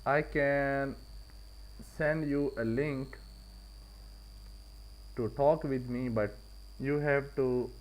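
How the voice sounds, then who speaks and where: A man's voice: medium pitch, fairly even intonation, drawn-out words, pauses often, rough recording. A man with a Pakistani accent hosting a casual live trading stream, repeating and rephrasing his points for emphasis. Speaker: live-stream forex trader and host.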